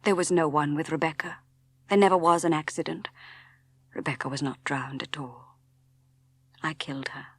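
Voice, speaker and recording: A woman voicing a tormented middle-aged Englishman in an audiobook, speaking in a hushed, grave, halting and intense way with an English accent. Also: deep voice, steady tone, breathy edge, no echo. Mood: anguished confession. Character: grim, tormented middle-aged Englishman confessing to his wife. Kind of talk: dramatic audiobook character reading.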